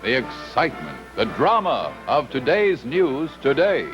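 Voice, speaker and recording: A man's voice: mid-range voice, wildly swooping pitch, measured pace, fairly bright tone. An American man doing scripted 1950s television sponsor announcing. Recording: dull vintage broadcast, music behind.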